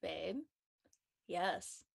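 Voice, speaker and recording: A woman's voice: fairly low pitch, little pitch movement, very slow, gravelly, exaggerated voice. A woman playing a blunt but supportive young woman in an audio drama, direct and dryly teasing. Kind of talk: acted audio drama dialogue.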